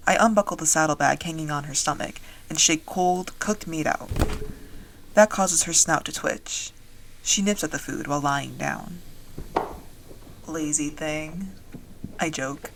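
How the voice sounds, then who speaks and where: A woman playing a wry dragon-riding soldier, narrating a fantasy audio drama in the first person in a measured storytelling way. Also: sits low, creaky, background noise. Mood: teasing.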